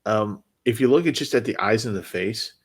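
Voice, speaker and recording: Male co-host, low husky voice, casual and stop-start in his reactions. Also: brisk.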